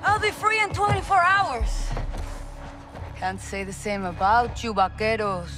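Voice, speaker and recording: A woman's voice: on the high side, animated, measured pace. A woman playing a defiant, smug cartel boss in a military game, with music over her voice. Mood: smug taunting. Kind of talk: cinematic military game acting.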